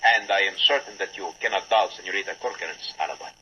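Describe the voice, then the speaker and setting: An actor playing a composed, courteous Latin gentleman in a 1940s radio drama, speaking politely and precisely. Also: sits low, fast talker. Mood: grave. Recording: muffled old radio, faint hiss.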